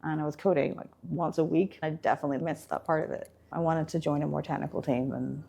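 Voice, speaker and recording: A woman from Ireland with an Irish accent tinged with American, speaking as a developer relations engineer in a conference interview, enthusiastic and quick to laugh. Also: low-pitched, fairly even intonation, gravelly, warm tone, husky. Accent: Irish with some American. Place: conference venue.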